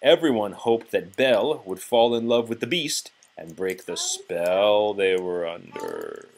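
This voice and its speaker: A man's voice: on the low side, very animated, a little slow, creaky. A man reading a children's storybook aloud to a child, expressively, with the odd prompting question. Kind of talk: children's read-aloud narration.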